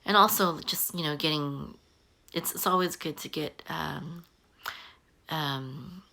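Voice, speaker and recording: A woman in her early forties giving casual advice to camera, halting often with ums and restarting her sentences. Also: low voice, gravelly, sharp tone. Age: 40s. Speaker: online business coach. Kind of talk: casual talking-head advice.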